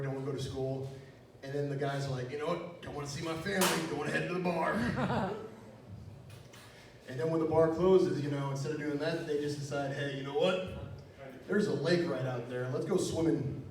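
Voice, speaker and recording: A man's voice: mid-range voice, a little mellow. Young man doing crude stand-up, echoey room. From Wisconsin. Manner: crude, self-mocking jokes with frequent "you know". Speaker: stand-up comedian. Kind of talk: stand-up comedy set. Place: small club stage, echoey room. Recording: echoey room, distant mic, faint noise.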